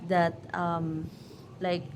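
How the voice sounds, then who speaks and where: A woman with a Filipino accent chatting casually to camera as a vlogger, pausing often to search for English words. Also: on the low side, completely flat delivery, crowd noise. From Philippines.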